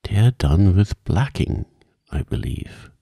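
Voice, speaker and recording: Polite, thoughtful young storybook girl, put on by a man, curious and slightly husky. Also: lowish voice, lively intonation, slow pace, dark tone, dry recording, studio quality, pitched above the performer's own voice, exaggerated voice. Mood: polite curiosity.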